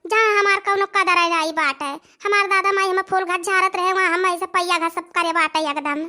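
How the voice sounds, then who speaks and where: Woman voicing a shrill, aggrieved young village wife. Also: steady tone, moderate pace, bright, forceful tone, heavily acted. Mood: bitter, complaining. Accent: Awadhi. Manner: complaining, repeating phrases for emphasis. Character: aggrieved, overworked young village daughter-in-law in a cartoon. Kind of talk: comic village cartoon dialogue.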